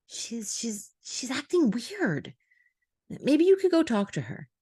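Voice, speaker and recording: A woman voicing an anxious, flustered aunt in a dramatic audio story, halting and emphatic. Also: fairly high pitch, huge pitch swings, dark tone, breathy edge, no room sound, clean studio sound, pitched above the performer's own voice, heavily acted. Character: anxious, flustered mother-figure aunt, irritable and overwhelmed.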